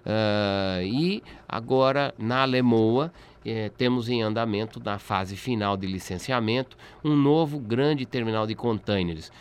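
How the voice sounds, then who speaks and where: Low-voiced Brazilian man explaining things. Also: unhurried, few pauses, smooth. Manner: didactic, checks with listeners using tag questions. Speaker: interviewee, port expert. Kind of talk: explanatory interview talk.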